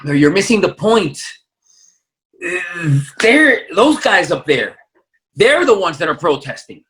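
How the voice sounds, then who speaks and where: A man's voice: high-pitched, big pitch swings, a little slow, a bit bright, slight background noise, full character voice, low-quality recording. Man playing a wry young Californian man. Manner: wry, laughing things off. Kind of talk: play reading.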